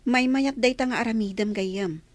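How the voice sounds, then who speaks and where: A woman's voice: fairly high pitch, moderate pace, natural voice. A woman with an Ilocano accent playing a concerned friend who gives advice in a radio comedy-drama. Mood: concerned, advising.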